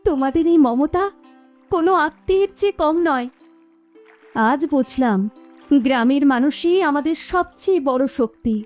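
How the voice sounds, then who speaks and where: Woman voicing a high, mellow, emotional village mother. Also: lively intonation, normal speed, long pauses, faint music behind. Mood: grateful. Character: anxious, grateful village mother and wife. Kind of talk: emotional animated moral story dialogue.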